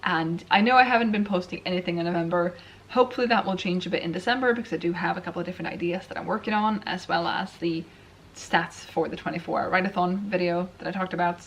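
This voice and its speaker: Low-voiced woman chatting on a vlog. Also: fast talker, a little hiss or hum. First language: Swedish. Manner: chatty, self-deprecating, rambling with restarts. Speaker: writing vlogger. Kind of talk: casual chat to camera.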